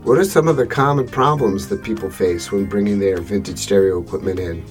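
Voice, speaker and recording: A man hosting a podcast, reading a measured scripted introduction and then asking his guest questions. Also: on the low side, faint music behind. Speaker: podcast host.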